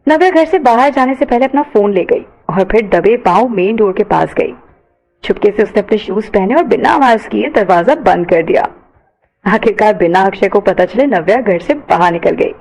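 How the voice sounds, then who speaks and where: A woman's voice: medium pitch, a little mellow, poor audio. A woman reading scripted narration for an audio story.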